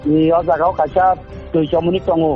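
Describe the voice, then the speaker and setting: A man talking on a phone call in a halting way, repeating words and phrases. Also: fairly high pitch, flat delivery. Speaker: caller. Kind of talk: phone conversation. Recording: muffled phone call recording, faint music behind.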